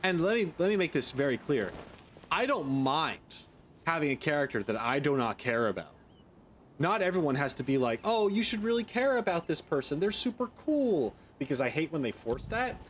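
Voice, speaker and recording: A man's voice: fairly high pitch, very animated, normal speed, a little hiss or hum. A man giving casual reaction commentary as a game streamer, opinionated, informal and jokey.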